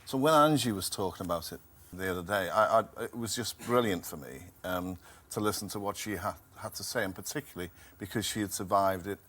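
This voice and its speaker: A man in his fifties from Liverpool, a game show contestant, talking hesitantly and softly with many pauses. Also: low-pitched. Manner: hesitant, soft-spoken, many ums. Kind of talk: casual chat. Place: TV studio.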